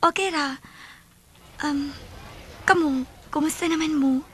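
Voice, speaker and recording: A woman with a Filipino Cebuano accent playing a sweet, lovestruck high school girl in a radio drama, girlish and emotive. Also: unhurried, fairly bright tone. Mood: shy. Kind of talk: radio drama acting and first-person narration. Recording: dramatic music behind the voice.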